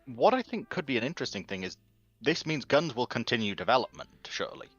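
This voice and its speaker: A man's voice: medium pitch, very animated, quick pace, a bit bright, husky, dry recording, muffled recording, soft background music. A man taking part in a casual speculative panel discussion with an inquisitive manner.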